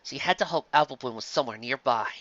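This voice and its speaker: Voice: medium pitch, fast, a bit bright, no room sound, highs cut off. A reader narrating a fan story aloud, with a plain delivery and occasional slips.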